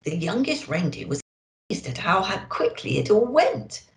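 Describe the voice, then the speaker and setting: A woman's voice: medium pitch, very animated, normal speed, reverberant. A woman reading a children's storybook expressively as a Christmas elf storyteller, with dramatic emphasis.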